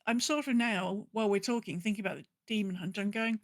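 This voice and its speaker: A woman co-hosting a podcast, chatting casually about gaming in an animated, rambling way and acting out her own thoughts. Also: medium pitch, fast, no room sound, clean studio sound. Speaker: podcast co-host.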